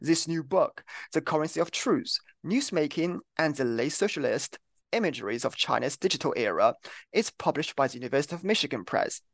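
A man's voice: a little high, lively intonation, fairly quick, no room sound. A young man hosting an academic podcast interview, speaking earnestly with a few restarts. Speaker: podcast host, PhD student. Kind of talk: interview introduction.

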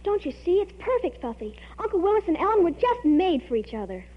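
An actress playing an excitable, scheming young American teenage girl in a 1940s radio comedy, with an eager, dramatic manner. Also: very high voice, quick pace, loud music behind the voice. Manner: eager, dramatic, breathless storytelling. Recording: dull old radio recording.

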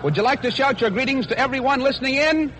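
A man's voice: very high-pitched, fast. A man working as a reporter, interviewing and announcing in a 1940s radio style on a noisy old broadcast. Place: aboard an aircraft carrier.

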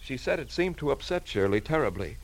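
A man's voice: medium pitch, big pitch swings, brisk. A man playing an anxious, polite bridegroom, hesitant and stammering, in a 1940s radio drama. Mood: worried. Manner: fretful, hesitant, stammering, formal. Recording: noisy vintage radio recording.

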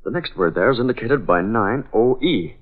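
An American actor playing a decisive boss and secret masked crime-fighter, crisp, deliberate and melodramatic in 1940s radio style. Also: male voice, medium pitch, lively intonation, lightly acted. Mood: puzzling, thoughtful. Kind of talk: 1940s radio drama acting. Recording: dull, band-limited old radio recording.

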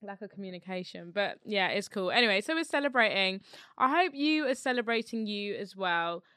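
A woman's voice: mid-range voice, expressive, brisk, sharp tone, clean tone, clean studio sound. A woman co-hosting a podcast, chatting casually and encouragingly, often echoing her friend. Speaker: podcast co-host. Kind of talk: casual chat.

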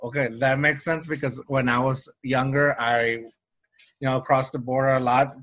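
A man's voice: medium pitch, flat delivery, normal speed, fairly bright tone. A young man with a slight Mexican Spanish accent, a native Spanish speaker, chatting casually as a podcast co-host, rambling with lots of restarts and fillers. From Mexico-US border region. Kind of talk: casual conversation.

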